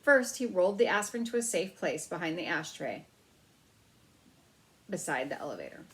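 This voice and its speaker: A woman's voice: fairly low pitch, very animated, normal speed, fairly bright tone, low-quality recording. A woman storyteller reading a children's novel aloud.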